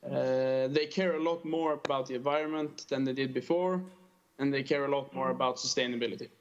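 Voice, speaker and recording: A man's voice: mid-range voice, steady tone, a little fast. A man in his early twenties with a Norwegian accent, earnestly giving a prepared conference presentation. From small island in northern Norway. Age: early 20s. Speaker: fishing tourism operator, panel speaker. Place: remote call into a conference. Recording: dull lo-fi video call audio.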